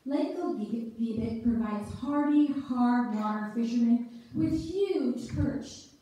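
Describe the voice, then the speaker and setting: A woman's voice: on the high side, expressive, takes it slowly, dark tone. A woman reading scripted narration live as a readers theater narrator, recorded from the audience in a church. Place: church sanctuary, before an audience. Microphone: far camera among the audience. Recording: echoey church hall from far off.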